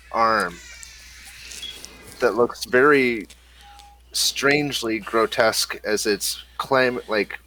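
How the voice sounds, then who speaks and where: A man narrating a tabletop horror game as game master, in slow and halting speech with many fillers. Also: medium pitch, bright, forceful tone, slight background noise, poor audio.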